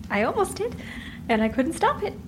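A woman playing a guilt-ridden, protective sister in a fantasy audio drama, crying and halting with a cracking voice. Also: extremely high pitch, exaggerated voice. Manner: halting, pleading repetition. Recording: noisy background ambience.

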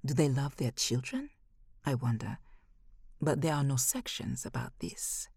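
A woman's voice: rumbling low, big pitch swings, a little slow, darkish tone, slightly rough, no echo, studio quality. A woman reading a first-person short story as scripted narration.

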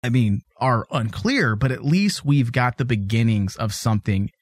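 A man's voice: medium pitch, expressive, normal speed, no echo. A man co-hosting a casual podcast, chatting animatedly and teasing his co-host, laughing easily.

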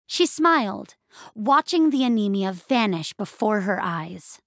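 A woman narrating scripted fiction with measured, expressive storytelling. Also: medium pitch, dry recording, clean studio sound.

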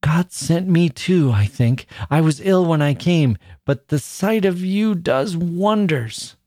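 A man voicing a frail dying man who speaks softly and fervently in a storybook reading. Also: fairly high pitch, animated, takes it slowly, no room sound, studio quality, voice pushed up. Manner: soft, rapt and pleading.

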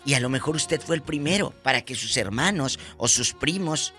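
A man's voice: medium pitch, animated, moderate pace, bright, forceful tone, faint music behind. A man presenting a radio show, addressing listeners directly in an emotive, sentimental way. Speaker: radio host. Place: radio studio.

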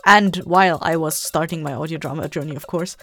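A woman's voice: deep voice, a little fast, pushed and bright. A woman hosting a podcast, giving an informal, friendly announcement to listeners in a conversational way. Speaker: podcast creator and host. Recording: light music behind the voice.

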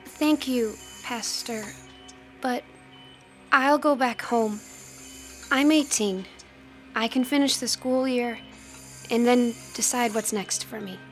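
A woman's voice: on the high side, slow pace, long pauses, faint music behind. An American woman playing a guilt-ridden, earnest eighteen-year-old girl in an audio drama, speaking hesitantly. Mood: polite, hesitant.

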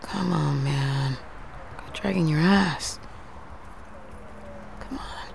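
An actress playing a harried, defensive female long-haul truck driver in an audio drama, with halting, pleading speech. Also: sits low, gravelly, full character voice. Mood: irritated, weary. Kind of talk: naturalistic audio drama acting. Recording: faint road and vehicle noise.

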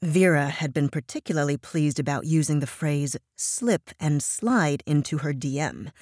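A woman narrating fiction as an audiobook reader, crisp and with comic timing. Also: low voice, darkish tone, no echo, studio quality.

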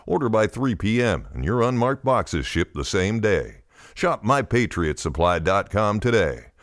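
A man's voice: lowish voice, very animated, measured pace, no room sound, studio quality. A man reading a scripted, urgent advertisement as an announcer. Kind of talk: scripted urgent radio-style ad read.

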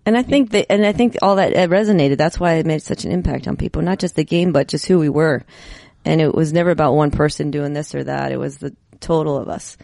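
A woman's voice: low voice, quick pace, creaky, few pauses, mellow, no echo, clean studio sound. A woman in her fifties, a guest reminiscing casually in conversation with friends. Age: 50s. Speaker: podcast guest, former team athlete.

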